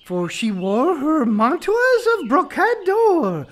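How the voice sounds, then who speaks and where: Farce role for a woman: sly rogue posing as fawning maid, extremely high, wildly swooping, archaic and wailing. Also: wildly swooping pitch, slow pace, full character voice. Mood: coy, fawning. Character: sly male rogue disguised as a weeping, simpering maidservant. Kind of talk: farcical period audio drama acting.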